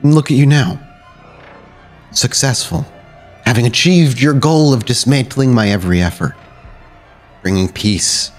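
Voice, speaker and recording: A man's voice: medium pitch, a little slow, long pauses, faint music behind, pitched above the performer's own voice, close to an everyday voice. A man voicing a dead vampire sire speaking from a mirror in a tabletop roleplay, needling and grandiose, pressing with rhetorical questions. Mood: goading, grand.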